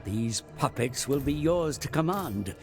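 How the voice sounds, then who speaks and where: A sinister game advisor with a gravelly, creaking voice. He addresses his admiral solemnly and very slowly, in a portentous style, over clear music. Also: mid-range voice, animated. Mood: ominous. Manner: slow, portentous. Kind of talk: theatrical scripted game narration. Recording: music behind the voice.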